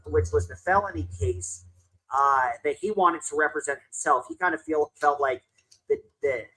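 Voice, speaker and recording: Husky-voiced reporter giving a court update. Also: medium pitch, a bit bright, low-quality recording. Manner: brisk, explains court steps plainly. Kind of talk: news update.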